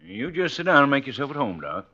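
An actor playing a genial, welcoming husband with a friendly, hearty manner in a 1940s radio commercial skit. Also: male voice, medium pitch, big pitch swings, quick pace, bright, forceful tone. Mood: hospitable. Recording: muffled 1940s radio recording.

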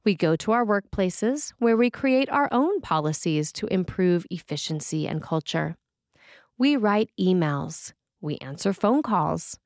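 A woman's voice: on the low side, animated, a little slow, darkish tone, dry recording, clean studio sound. A woman priest reading a scripted sermon for a podcast.

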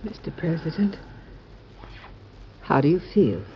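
An actress playing a president's devoted, anxious yet composed wife in an American old-time radio drama, soft and formal. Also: female voice, sits low, sing-song, a little slow, exaggerated voice. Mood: steady, loving. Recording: dull, noisy vintage radio recording.

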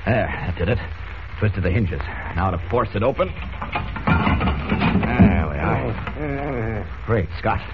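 Earnest hero reporter, brisk, 1940s radio serial, muffled and noisy. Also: male voice, on the low side, lively intonation. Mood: intent. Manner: brisk, earnest, thinking aloud. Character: secret superhero posing as mild-mannered male reporter.

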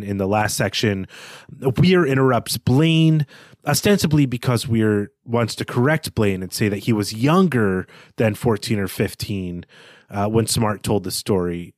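A man's voice: fairly low pitch, no room sound. Bookish man in a dry, close recording. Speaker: podcast co-host. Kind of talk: literary analysis discussion between co-hosts.

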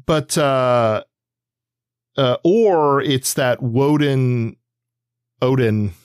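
Low-voiced podcast co-host, halting and musing, dry close sound. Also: male voice, smooth, dry recording. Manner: hesitant, thinking aloud with many ums. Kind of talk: casual comics discussion.

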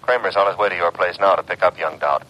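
An actor playing a brisk, wisecracking detective's legman, casual and wry in a classic radio drama. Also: sits low, steady tone, bright, forceful tone, rough recording. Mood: urgent, wry.

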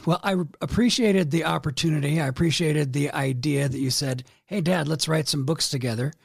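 A middle-aged man appearing as a podcast guest, speaking in a measured, modest way. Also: fairly high pitch, dry recording, studio quality. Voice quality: gravelly, husky. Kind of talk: casual podcast chat.

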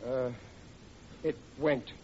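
A man's voice: medium pitch, fairly even intonation, very slow, a little mellow. An actor playing a bumbling, protesting middle-aged family man in old radio comedy. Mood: glum, blustering. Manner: blustering, hapless, protesting. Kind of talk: 1940s radio comedy acting. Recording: muffled old radio recording with clear noise.

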